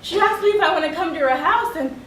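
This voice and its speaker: A woman's voice: very high-pitched, animated, quick pace, fairly bright tone. A woman performing a theatrical monologue as a wounded, frank young girl, with a childlike, dramatic, emphatic delivery. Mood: shy wonder. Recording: echoey room, distant mic, faint noise.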